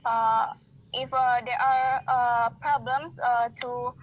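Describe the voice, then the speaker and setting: A woman's voice: on the high side, flat delivery, slow pace. A young woman from Indonesia with an Indonesian accent, an English student asking questions hesitantly with many fillers on a video call. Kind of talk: online English practice call. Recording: dull lo-fi video call audio.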